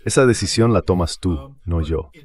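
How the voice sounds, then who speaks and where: A man's voice: deep voice, lively intonation, moderate pace, darkish tone. A man reading a dubbed political and military commentary in an emphatic, explanatory way, in short declarative sentences. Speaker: translated voice-over of an interview guest.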